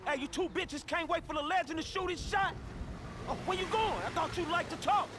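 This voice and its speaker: A man's voice: extremely high pitch, a little fast, very noisy. An actor playing a cocky, boastful male golfer in a video game, taunting as he speaks. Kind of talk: video game cutscene acting.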